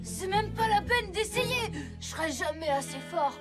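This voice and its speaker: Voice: extremely high pitch, animated, normal speed. An actor voicing an anxious, self-doubting young boy motorbike in a French-dubbed children's cartoon, hesitant and plaintive. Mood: discouraged. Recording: loud music behind.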